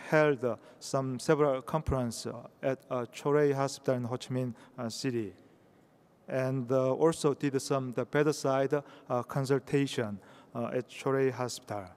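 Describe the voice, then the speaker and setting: A man from South Korea with a Korean accent giving a conference talk in English, halting often with hesitations. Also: mid-range voice, darkish tone, smooth. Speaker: conference speaker. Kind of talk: conference presentation. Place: conference hall. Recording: reverberant hall.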